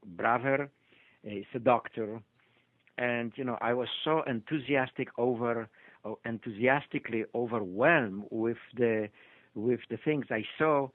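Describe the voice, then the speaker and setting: A man's voice: mid-range voice, a little slow, no echo. An older man with a Polish accent, a doctor being interviewed, telling his story with many hesitations and restarts. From Poland. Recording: tinny phone-line audio, dry.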